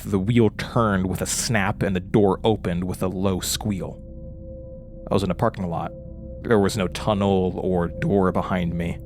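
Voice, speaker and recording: An actor as a haunted, weary man in a horror audio drama, his voice sitting fairly low. He speaks in a halting, confessional way with a wry edge, with faint music underneath. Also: vocal fry. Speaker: lead character and narrator of a horror audio drama. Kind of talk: horror audio drama acting, narration and intimate dialogue.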